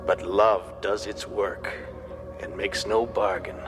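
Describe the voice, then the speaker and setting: An actor playing a fervent, plain-spoken 19th-century evangelist, preaching emphatically and deliberately in an audio drama. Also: male voice, on the low side, fairly even intonation. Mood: gently exhorting. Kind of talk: dramatised sermon. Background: music.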